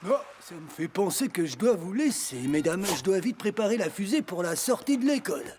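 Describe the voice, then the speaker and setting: Cheerful old cartoon grandpa, high-ish chatty voice. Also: male voice, very animated, a little fast, a little mellow, slight background noise. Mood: fond. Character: jovial older grandfather train driver. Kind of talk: cartoon dub acting. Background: music.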